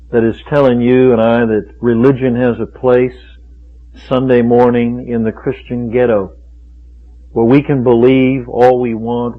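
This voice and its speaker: Fiery preacher on a tinny radio line. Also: male voice, medium pitch. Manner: emphatic, rhetorical, repeats phrases for force. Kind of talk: fiery sermon. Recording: tinny phone-like radio recording.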